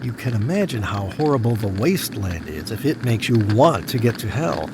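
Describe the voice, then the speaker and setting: Smug tycoon over noisy ambience. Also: male voice, medium pitch, very animated, normal speed. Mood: wry storytelling. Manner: wry, self-satisfied. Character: smug dead tycoon, cocky, stubborn and wry. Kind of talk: first-person audio drama narration and dialogue. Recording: noisy background ambience, sometimes echoey.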